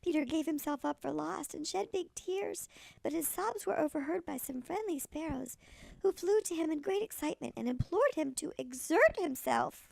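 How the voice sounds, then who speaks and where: Tiny elderly granny storyteller, played by a woman: high-pitched, very gravelly, expressive picture-book read. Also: moderate pace, dry recording, full character voice, low-quality recording. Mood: storytelling. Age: older. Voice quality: creaky, gravelly. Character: tiny granny, a little old lady storyteller. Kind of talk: expressive children's storybook reading.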